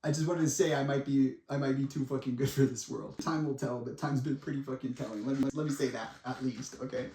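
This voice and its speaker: A man in his twenties giving a monologue to viewers in a dramatic, deliberate way, with pauses and blunt asides. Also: medium pitch, steady tone, warm tone. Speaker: speaker making a personal public statement. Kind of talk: semi-prepared monologue addressed to viewers. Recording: echoey room, distant microphone.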